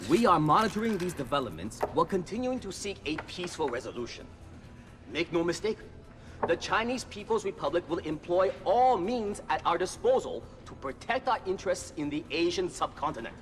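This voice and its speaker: Firm diplomat, high voice, animated intonation, official statement, video game, music underneath. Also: male voice, fairly quick. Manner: official, declarative. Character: firm, formal male Chinese diplomat making statement.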